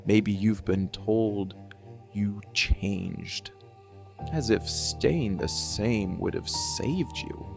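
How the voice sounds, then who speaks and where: A man playing a brooding, hardened and defiant villain in a dark fantasy ASMR monologue, speaking with deliberate, dramatic pauses and measured intensity. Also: on the low side, gravelly, music in the background. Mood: defiant, intimate.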